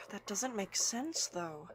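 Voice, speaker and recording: A woman voicing an excitable young scientist in a fan audio drama, animated and prone to giddy laughter. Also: mid-range voice, extremely slow. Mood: puzzled. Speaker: scientist character.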